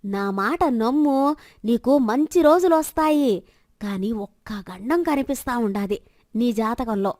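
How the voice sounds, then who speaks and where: A woman's voice: on the high side, animated, measured pace, darkish tone, dry recording, clean studio sound, voice pushed down. A woman voicing a wandering village fortune-teller who coaxes in rustic dialect with endearments and solemn prophecies in a moral story. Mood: solemn, prophetic.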